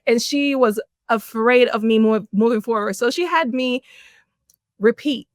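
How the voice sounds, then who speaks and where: A middle-aged woman from Jamaica, a podcast guest telling her life story in an animated way, laughing often. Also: a little high, unhurried, no echo, clean studio sound. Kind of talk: personal storytelling in an interview.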